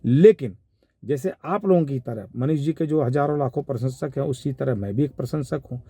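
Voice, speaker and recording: Mellow, dark-voiced Bihari man commenting. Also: medium pitch, normal speed, breathy edge, no echo, clean studio sound. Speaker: commentator giving his analysis of a viral call. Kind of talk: informal spoken commentary.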